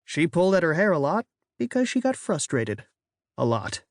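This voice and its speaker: A narrator reading a children's novel in an expressive, first-person storytelling way. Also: mid-range voice, moderate pace, no echo, clean studio sound. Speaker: audiobook narrator. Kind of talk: scripted audiobook narration.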